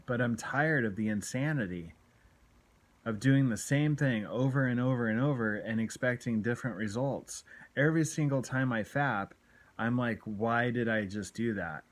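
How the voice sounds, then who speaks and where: A man in his late forties talking candidly to camera in an earnest, exhorting, confessional way, often repeating his points for emphasis. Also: medium pitch. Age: late 40s. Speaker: self-help video blogger. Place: small room at home, indoors. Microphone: phone at arm's length.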